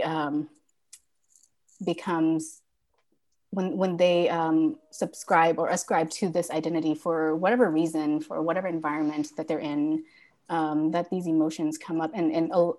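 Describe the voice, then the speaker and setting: A woman's voice: low-pitched, steady tone, normal speed, warm tone. A woman guest speaking reflectively in casual conversation, with many fillers and restarts. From born in Thailand.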